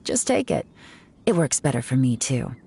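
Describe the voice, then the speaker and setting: A woman voicing a composed investigator who speaks calmly and with authority in a game. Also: extremely low pitch, moderate pace, creaky, slight background noise, full character voice. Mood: stern. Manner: calm, dry and authoritative. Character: composed adult woman investigator, firm and wry.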